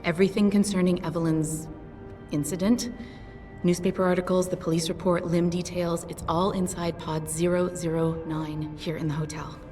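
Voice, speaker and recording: A tough, stubborn female pilot from a video game, voiced by a woman with a lowish, clipped delivery and plenty of swearing. The voice sits back from the mic in a long reverb, with music underneath. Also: level tone, moderate pace, dark tone, distant mic, natural voice. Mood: earnest. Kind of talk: video game cutscene dialogue.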